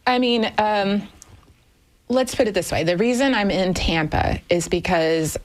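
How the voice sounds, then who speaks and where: A woman's voice: mid-range voice, a little slow, poor audio. A woman in her fifties from the United States, a guest telling her personal story candidly in conversation, often correcting herself. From United States, moved often as a military child, Minnesota family. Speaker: interview guest. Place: radio studio.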